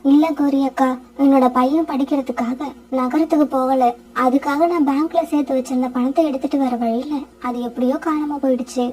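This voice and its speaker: A grieving mother sparrow from a bird cartoon speaks in a low, subdued voice, put on by a woman. She sounds quiet and burdened by worry. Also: fairly even intonation, brisk, lightly acted, low-quality recording. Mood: sad.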